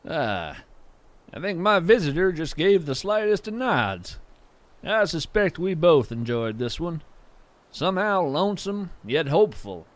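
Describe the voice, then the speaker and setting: A man playing a genial, whimsical naturalist who hosts a fiction podcast, narrating in a gentle, earnest, literary manner. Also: a little high, big pitch swings, dry recording. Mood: warm, appreciative. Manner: measured, gentle, earnest delivery with florid wording. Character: genial, whimsical male naturalist hosting strange creatures by campfire.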